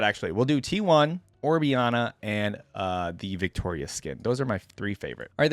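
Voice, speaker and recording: A man's voice: on the low side, dry recording. A man hosting a reaction video, commenting casually and conversationally on game skins as he thinks aloud.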